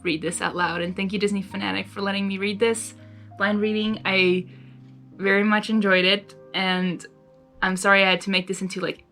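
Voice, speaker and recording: A woman's voice: medium pitch, flat delivery, normal speed, a bit bright, faint music behind. A woman hosting a fan fiction reading, chatting informally with gushing fan enthusiasm. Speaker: fan fiction reader and channel host. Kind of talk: casual reaction chat between readings.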